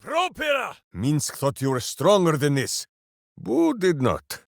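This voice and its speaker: An actor playing a boisterous, hamster-loving male warrior in a video game, talking about himself in the third person in a booming, theatrical way. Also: huge pitch swings, slow, gravelly, dry recording, studio quality, full character voice. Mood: heroic.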